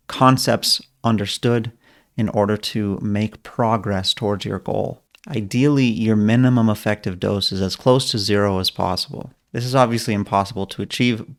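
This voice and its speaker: A man who coaches people through learning plateaus, explaining his method step by step in an online lesson, now and then correcting himself mid-sentence. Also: fairly low pitch.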